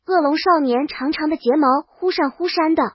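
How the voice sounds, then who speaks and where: High-pitched female storyteller, comic scripted narration, dry but dull lo-fi recording. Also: normal speed, no echo. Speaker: audiobook narrator.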